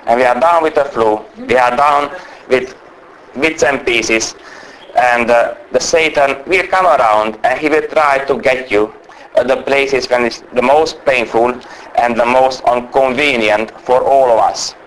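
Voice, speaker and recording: A man giving a sermon as a guest preacher. He speaks English with a strong non-native accent, talks fast by nature and tries to slow down, and sounds under the weather with a cold. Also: medium pitch, fairly bright tone, slight background noise, poor audio. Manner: naturally fast talker trying to speak slowly. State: unwell with a cold or flu.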